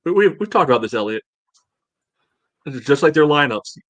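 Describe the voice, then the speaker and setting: A man co-hosting a sports podcast, talking casually in a halting, conversational way. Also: fairly high pitch, very animated, fairly bright tone, dry recording. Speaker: podcast co-host.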